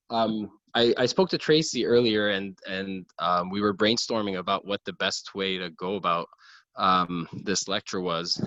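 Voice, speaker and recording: Deep-voiced man lecturing. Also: fairly bright tone, no echo. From Lebanon. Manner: earnest, frequent ums and restarts. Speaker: gynecologic oncologist giving a lecture. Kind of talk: informal lecture over a video call. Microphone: computer mic on a video call. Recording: dull, dry video call audio.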